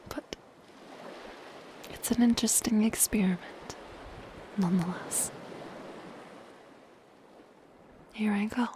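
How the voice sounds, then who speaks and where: A woman voicing a soft-spoken, possessive and lonely siren in an ASMR roleplay, in a breathy, gentle, intimate whisper. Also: medium pitch. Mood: fascinated, shy. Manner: slow, gentle, intimate delivery. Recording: close whisper under ocean surf noise. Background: ocean waves and surf.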